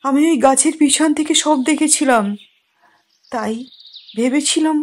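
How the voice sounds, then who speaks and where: A woman playing a kind, grateful, generous village man in a cartoon, speaking politely and gently. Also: high voice, normal speed, natural voice. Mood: grateful, earnest. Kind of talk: cartoon moral-story dialogue. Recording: faint noise with birdsong.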